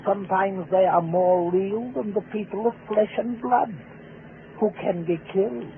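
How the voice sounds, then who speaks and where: Slow, ominous mysterious stranger. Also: male voice, sits high, vocal fry, narrow, tinny audio. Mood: calmly ominous. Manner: deliberate, polite, insinuating. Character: mysterious, calm, ominous male stranger, a messenger of death. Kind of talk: 1940s radio drama acting. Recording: scratchy band-limited 1940s radio recording.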